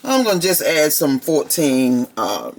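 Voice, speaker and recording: Raspy gravelly lady chatting thru a cooking vlog at home, bit higher voice, faint hum behind. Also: sing-song, a little slow. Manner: chatty, talks straight to viewers. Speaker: cooking vlogger.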